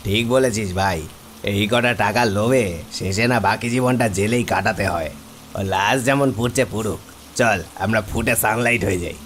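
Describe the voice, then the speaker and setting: A man's voice: on the low side, moderate pace, natural voice. A man voicing a shady, nervous corpse burner in a horror cartoon. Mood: resigned, scared. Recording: noisy outdoor-style ambience under voice.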